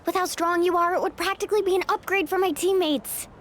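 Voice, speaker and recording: A woman's voice: very high-pitched, steady tone, quick pace, slight background noise. A woman voicing a confident, upbeat, teasing young warrior in a video game. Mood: teasing, persuasive.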